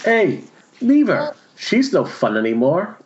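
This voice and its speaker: A man's voice: high voice, wildly swooping pitch, takes it slowly, exaggerated voice. A man playing a sullen, nasty young boy turning evil in an amateur horror table read over a dull internet call. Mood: petulant.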